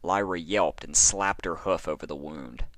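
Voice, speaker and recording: A man's voice: sits low, normal speed, fairly bright tone, no echo. A man introducing and narrating fan fiction as a podcast reader in an informal storytelling style.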